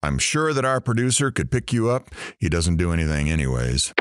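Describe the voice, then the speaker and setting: A man's voice: low voice, very animated, fairly quick, no echo, studio quality. A man playing a wisecracking, sarcastic radio talk show host in an audio drama. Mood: joking banter.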